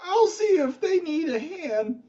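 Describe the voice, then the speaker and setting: Woman as high-pitched meek servant boy, dull recording. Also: very animated, measured pace, warm tone, full character voice. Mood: anxious. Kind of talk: audio play acting.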